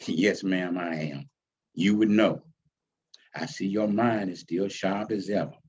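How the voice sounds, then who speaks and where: A man's voice: low voice, a little slow, darkish tone, slightly rough, muffled recording, pitched below the performer's own voice. A man voicing a friendly, respectful adult visitor, polite and easygoing, in a storybook reading.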